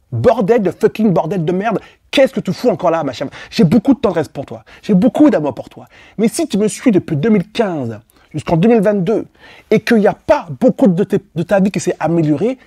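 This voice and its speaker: Husky, lively-voiced male coach swearing bluntly. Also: high voice, no room sound, clean studio sound. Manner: blunt and profane, with emphatic repetition; talks straight to the listener, prodding with questions. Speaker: dating and life coach for women. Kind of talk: motivational monologue addressed to viewers.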